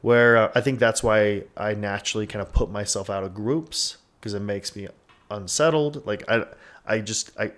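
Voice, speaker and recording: A man's voice: on the low side, normal speed, fairly bright tone. A man in his twenties hosting a podcast, talking candidly and rambling with lots of "like" and frequent swearing. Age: 20s. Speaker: podcast host. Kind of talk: candid casual podcast talk.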